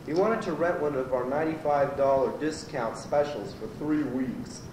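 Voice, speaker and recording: A man's voice: medium pitch, normal speed, lightly acted. A man playing an earnest, rambling teenage goat who works as a rental clerk in an amateur radio comedy. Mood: rambling, explaining. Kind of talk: amateur old-time radio comedy acting. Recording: echoey, distant, noisy amateur tape.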